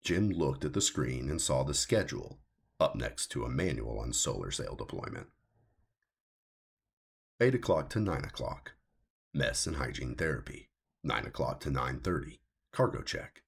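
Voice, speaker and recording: A man reading his own science fiction story as scripted audiobook narration, in a measured, deliberate way with a gravelly, husky voice. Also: extremely low pitch, big pitch swings.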